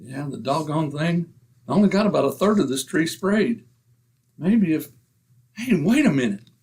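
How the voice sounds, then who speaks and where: A man acts out a blustery middle-aged husband from an old-time radio comedy. He is slangy and boastful, given to grumbling, in a raised voice with a husky edge. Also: fairly high pitch, animated, normal speed, darkish tone.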